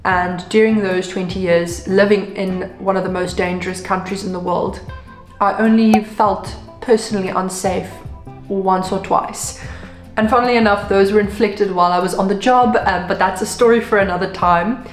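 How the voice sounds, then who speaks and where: A woman's voice: mid-range voice, fairly even intonation, moderate pace, echoey room, soft background music. Chatty South African woman in her twenties. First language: Afrikaans. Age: 20s. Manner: chatty and upbeat, with frequent ums. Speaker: vlogger. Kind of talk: casual vlog talk to camera.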